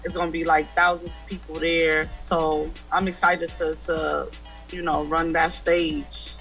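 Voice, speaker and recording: Bright-voiced young woman on a video call. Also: deep voice, level tone, a little slow, faint music behind. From United States. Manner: easygoing, laughs often. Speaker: guest musician. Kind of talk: casual interview over video call. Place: room at home. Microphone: video call webcam.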